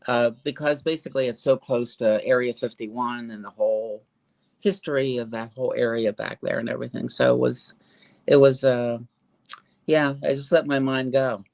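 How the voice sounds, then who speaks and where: A man's voice: mid-range voice, fairly even intonation, moderate pace, vocal fry, darkish tone, no echo. An older man, an author in a casual interview, talking in a rambling, hesitant way with lots of fillers and restarts.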